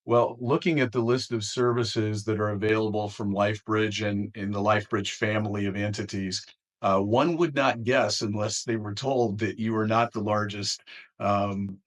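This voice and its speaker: A man hosting an interview, setting up his questions with measured lead-ins and deliberate, formal phrasing. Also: lowish voice, level tone. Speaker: interview host.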